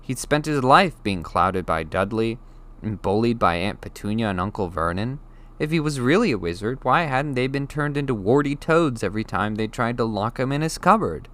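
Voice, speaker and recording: A man's voice: lowish voice, a bit bright. A man narrating an audiobook, reading scripted children's fantasy prose.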